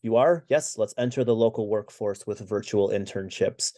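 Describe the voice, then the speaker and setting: A man's voice: lowish voice, moderate pace, dark tone. A middle-aged American man co-hosting a webinar, presenting over a video call with lots of "uh" fillers and restarts. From United States. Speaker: webinar co-host.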